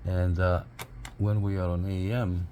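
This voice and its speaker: Low-voiced man, halting and casual. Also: level tone, warm tone, slight background noise. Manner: halting, repeats phrases. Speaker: radio reviewer and CB operator. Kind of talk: casual hands-on review, unscripted.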